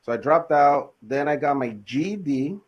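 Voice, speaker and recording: A man in his thirties from Los Angeles, a podcast guest telling his story in a relaxed, casual way with plenty of fillers. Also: a little high, normal speed, breathy edge, lo-fi sound. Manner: relaxed, laid-back, frequent fillers. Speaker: podcast guest, business owner.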